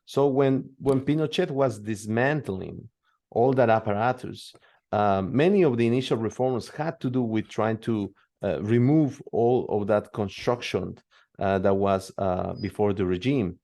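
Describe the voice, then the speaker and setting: A man from Peru with a tongue, answering interview questions in a hesitant way, full of pauses and restarts. His voice sits low and has a gravelly edge. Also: warm tone. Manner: hesitant, many fillers and restarts. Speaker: guest expert.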